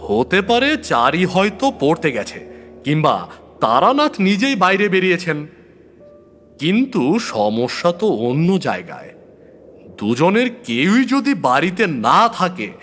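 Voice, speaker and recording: A man's voice: fairly high pitch, wildly swooping pitch, a little slow, fairly bright tone, a little hiss or hum, pitched above the performer's own voice, heavily acted. A man playing an anxious, irritable man who frets and complains to his friend in an audio horror story. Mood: uneasy guessing. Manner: fretful, complaining.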